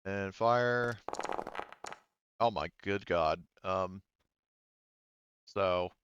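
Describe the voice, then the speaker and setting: A man with a fairly deep, clean voice runs a tabletop game. He thinks out loud in halting bursts and swears casually. The sound is close and dry but slightly dull. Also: clear, resonant tone, dry recording. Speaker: game master. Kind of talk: running a tabletop roleplaying game, casual narration.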